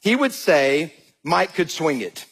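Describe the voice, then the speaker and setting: Southern guy from georgia preaching, kinda high voice, bit husky, jokey storyteller pastor. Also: slow pace. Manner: animated, jokey storytelling, addresses crowd as y'all. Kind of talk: sermon with storytelling.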